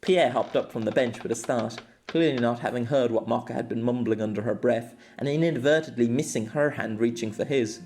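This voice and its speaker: A man reading a story aloud as an audiobook narrator, in an expressive scripted style. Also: medium pitch, brisk, hardly any pauses.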